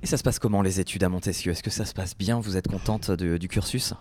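A man hosting a radio interview, asking questions in a relaxed, conversational way. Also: low voice, fast talker, a little hiss or hum. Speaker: radio host. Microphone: studio microphone.

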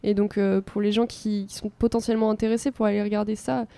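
A woman's voice: medium pitch, flat delivery, fast talker, vocal fry, dark tone, clean tone, no echo. A young woman in her twenties, a band singer chatting upbeat in an interview, laughing often. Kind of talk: casual interview chat.

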